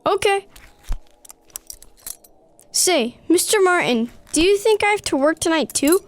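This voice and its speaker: A woman's voice: very high voice, expressive, a little slow. A female performer playing a cheeky, eager child errand boy in a radio play. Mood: hopeful, cheeky. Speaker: actor. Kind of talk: radio theatre acting.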